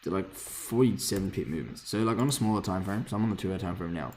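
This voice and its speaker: A man with a hoarse voice casually thinking aloud while reviewing trading charts, rambling, swearing freely and correcting himself. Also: low-pitched, fast talker, a little mellow, a little hiss or hum. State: hoarse, lost voice from screaming. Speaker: forex trader reviewing charts.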